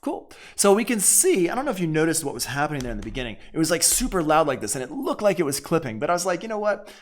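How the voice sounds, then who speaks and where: A man's voice: on the high side, sing-song, fast talker. A man teaching audio production in a casual live online tutorial, thinking aloud informally as he works.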